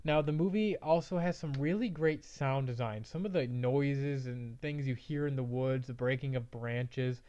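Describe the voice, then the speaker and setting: A man's voice: mid-range voice, moderate pace, hardly any pauses, dark tone, clean tone. A man hosting a casual movie review, his voice slightly off from a mild cold. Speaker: movie review show host. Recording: slightly dull, band-limited audio.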